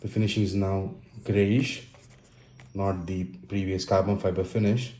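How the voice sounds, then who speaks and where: A man with an Indian accent narrating an unboxing casually and unscripted, in halting phrases broken by pauses. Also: deep voice, flat delivery, mellow. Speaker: tech and motorcycle vlogger. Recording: roomy echo with faint background noise.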